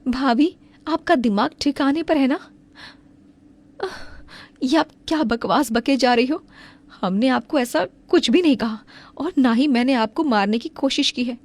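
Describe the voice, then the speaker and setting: A woman's voice: sits high, measured pace, a little mellow. A woman playing an aggrieved, outspoken young wife in a cartoon story, earnest and emphatic. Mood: indignant. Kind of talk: Hindi cartoon story acting.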